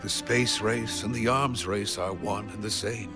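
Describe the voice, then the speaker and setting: An actor playing a weary, guilt-ridden middle-aged Russian rocket scientist in a video game, grave and deliberate. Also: male voice, on the low side, over music, natural voice. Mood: weary, bitter. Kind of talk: dramatic video game cutscene acting.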